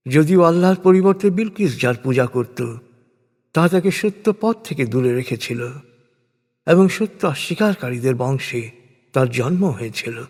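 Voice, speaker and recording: A man reading a scripted scripture translation in a measured, solemn, deliberate manner. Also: a little high, a little mellow, breathy edge. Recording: long hall reverb on voice.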